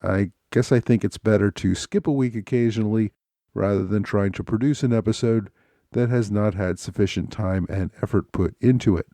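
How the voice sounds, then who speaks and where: A man hosting a history podcast, talking in a measured, unhurried way, his voice a little scratchy from a cold. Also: lowish voice, warm tone, no room sound. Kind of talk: solo podcast talk.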